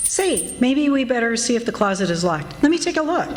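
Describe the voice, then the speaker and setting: An actress playing a sensible, teasing, no-nonsense wife in 1940s radio comedy style, wry and bossy. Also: female voice, fairly high pitch, big pitch swings, fairly quick.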